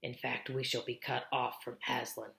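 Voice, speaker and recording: A woman reads as a knowing male beaver in a children's story. The voice is low and creaky, grave and deliberate, with ominous weight. It sounds in an echoey room. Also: little pitch movement, a bit bright, full character voice. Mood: grave urgency.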